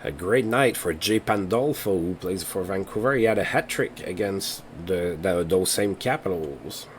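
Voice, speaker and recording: A man with a somewhat low voice hosts a relaxed let's play, reading through results and weighing choices out loud. English is not his first language, and he talks in an easygoing, unhurried way. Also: slight background noise. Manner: thinks aloud while reading game results. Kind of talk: casual gameplay commentary.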